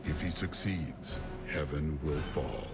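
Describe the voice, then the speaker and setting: A man's voice: a bass voice, lively intonation, slow pace, creaky, exaggerated voice. An actor playing a resolute demon-slaying hero in a fantasy game, stern and solemn in his declarations. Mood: grave determination. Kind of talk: dramatic fantasy game narration and dialogue. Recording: loud dramatic music behind.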